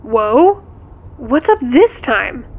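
Casting: playful, chatty friend on a call, higher-pitched, tinny radio filter, audio drama. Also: female voice, sing-song, drawn-out words, heavily acted. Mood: teasing. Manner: playful, teasing. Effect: radio or phone filter. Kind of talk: naturalistic audio drama dialogue. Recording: tinny, narrow-band radio sound.

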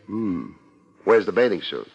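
An actor playing a laid-back, wry private detective in a dry 1950s radio style, on a noisy vintage recording. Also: male voice, deep voice, very animated, measured pace, creaky, husky, muffled recording, full character voice. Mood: wry, curious.

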